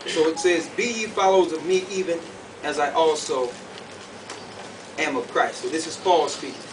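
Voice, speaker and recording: High-voiced man preaching, recorded from a distance. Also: moderate pace. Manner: emphatic, didactic, checks understanding with short questions. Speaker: preacher teaching scripture. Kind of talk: sermon, verse-by-verse teaching. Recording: distant mic, faint background noise.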